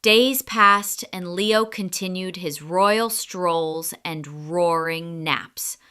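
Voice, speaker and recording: A woman storyteller reading a scripted children's story in an expressive, deliberate way. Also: on the low side, smooth.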